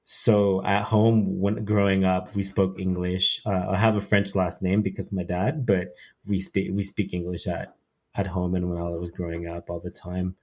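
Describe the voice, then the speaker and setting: A man in his thirties, from Peru and raised in Canada, talking as an interview guest. His voice sits very low and stays level, with little rise or fall. He speaks haltingly, often pausing on fillers and restarting his sentences. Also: flat delivery, a little mellow. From Peru, raised in Quebec, Canada. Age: 30s. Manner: hesitant, many fillers and restarts. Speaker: podcast guest. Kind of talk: casual interview storytelling.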